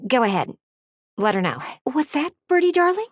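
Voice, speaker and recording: A woman's voice: high voice, very animated, normal speed, no room sound, studio quality. A woman voicing a nosy, prim, easily offended lady window in a video game, with a theatrical, gushing and condescending manner. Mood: concerned, meddling. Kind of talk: animated video game character acting.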